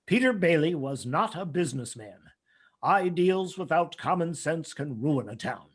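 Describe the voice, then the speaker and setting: A man playing a cold, pompous old tycoon in an old-time radio play, husky and contemptuous. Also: fairly high pitch, lively intonation, moderate pace. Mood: scornful. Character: cold, scornful old male town tycoon.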